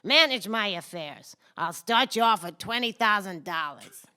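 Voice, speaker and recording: A scheming old tycoon in an old-time radio drama. He is condescending and deliberate, with a bright, clear voice that makes every smug offer sound precise. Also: high-pitched, expressive, heavily acted. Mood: coolly persuasive.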